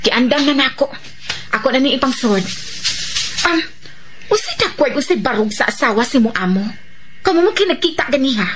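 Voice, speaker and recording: A woman's voice: high-pitched, big pitch swings, normal speed, long pauses, background noise. A woman with a Cebuano Filipino accent playing a feisty, chatty, teasing woman in a radio drama, full of familiar exclamations. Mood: businesslike.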